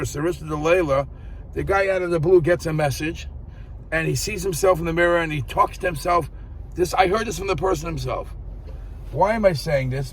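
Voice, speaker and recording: A man giving an informal Torah talk, telling a story with many halting restarts and fillers. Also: on the high side, a little hiss or hum, poor audio. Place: outdoors in an early-morning street.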